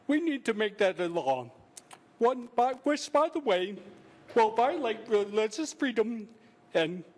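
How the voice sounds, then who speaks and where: A man with a speech impediment giving a prepared public statement, halting and sometimes stumbling over his words. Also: high voice. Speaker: public commenter.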